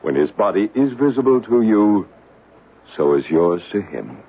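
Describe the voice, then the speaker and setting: An actor playing a male psychic who guides a séance in slow, hushed tones and narrates the story, in a 1970s radio drama. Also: lowish voice, sing-song, slightly rough, exaggerated voice. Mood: commanding. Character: calm, soothing male psychic guiding a séance. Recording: narrow, hissy old radio broadcast.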